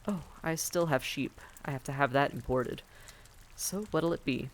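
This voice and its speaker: A man playing a friendly, patient vampire innkeeper in a roleplay audio drama, giving gentle, folksy, reassuring advice straight to the listener. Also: on the high side, normal speed, close to an everyday voice. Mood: hospitable, chatty. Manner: gentle, folksy, politely advising.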